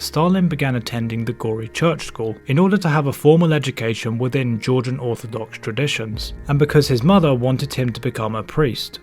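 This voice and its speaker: A man narrating a scripted history documentary in a measured, explanatory way. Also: mid-range voice, darkish tone.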